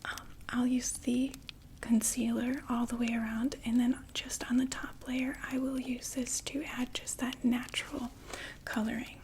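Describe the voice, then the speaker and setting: A woman voicing a chatty undead vampire makeup saleswoman in a soft, gently persuasive ASMR whisper. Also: on the high side, level tone, a little slow, slight background noise. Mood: hushed sales pitch. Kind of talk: ASMR roleplay.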